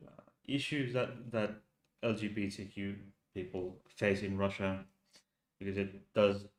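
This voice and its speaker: A slow, halting man with a Finnish accent talks loosely to his viewers, his voice sitting a little low. He restarts his thoughts often as he goes. The sound carries a roomy echo. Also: level tone. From Finland. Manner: hesitant, halting with many restarts. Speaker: channel host. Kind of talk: casual live stream talk.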